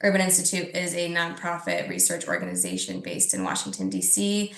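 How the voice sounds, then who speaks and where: Woman, low voice, heavy vocal fry, measured delivery, echoey room. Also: big pitch swings, fairly bright tone. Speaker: panelist. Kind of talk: panel introduction.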